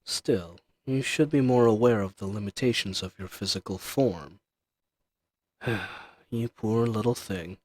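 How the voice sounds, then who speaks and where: Slow, imperious ancient dragon, acted by a man. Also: on the low side, darkish tone, dry recording. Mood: amused, condescending. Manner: slow, haughty, teasing, formal archaic diction. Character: ancient male dragon, imperious, teasing, dangerous yet tender. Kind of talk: dramatic roleplay audio addressed to the listener.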